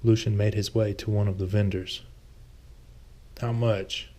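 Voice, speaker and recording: A man's voice: lowish voice, little pitch movement, fairly quick, mellow. A man reading his own fantasy novel aloud as scripted audiobook narration.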